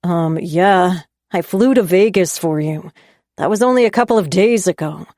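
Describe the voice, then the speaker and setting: A woman voicing a young man, an angry and jealous boyfriend, who talks in raised, sharp and scornful lines. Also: fairly low pitch, moderate pace, no echo, clean studio sound, natural voice.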